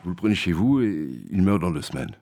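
An actor playing a blunt hospital doctor who haltingly delivers a grim prognosis in a stylized radio drama. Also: male voice, low-pitched, expressive, darkish tone. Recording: prominent music under the voice.

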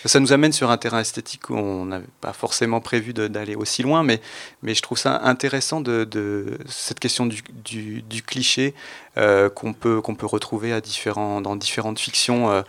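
A man hosting an unscripted radio panel discussion, moderating in a halting, reflective way. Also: fairly low pitch, fairly even intonation, a bit bright.

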